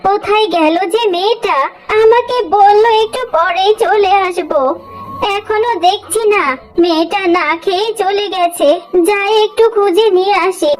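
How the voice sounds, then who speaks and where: Woman playing a very high-pitched, plaintive old jackal grandmother. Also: normal speed, soft background music. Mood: worried, grieving. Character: elderly grandmother jackal, pleading, grieving, vengeful. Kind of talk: melodramatic cartoon story acting.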